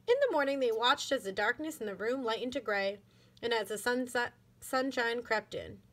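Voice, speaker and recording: A woman reading a children's book aloud like a schoolteacher, in an expressive, deliberate manner. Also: a little high. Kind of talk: children's storybook narration.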